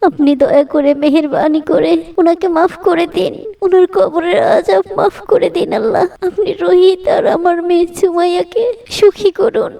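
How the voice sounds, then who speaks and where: A woman's voice: extremely high pitch, steady tone, normal speed, vocal fry, few pauses, mellow, recorded from a distance. A woman voicing a humble, pious middle-aged village mother-in-law in a cartoon, with a plaintive, pleading manner. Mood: tearful praying. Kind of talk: cartoon voice acting.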